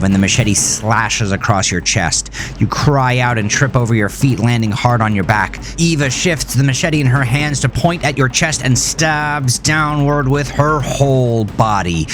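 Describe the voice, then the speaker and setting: Low-voiced man narrating horror over music. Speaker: author narrating his own horror story. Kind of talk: dramatic scripted horror narration. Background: music under the voice.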